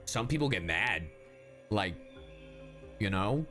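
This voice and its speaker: Male streamer, low lively voice, casual and emotional reactions, swears freely, faint music behind. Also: takes it slowly. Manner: emotional, swearing, repeating himself. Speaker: streamer reacting to a game story. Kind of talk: casual livestream commentary.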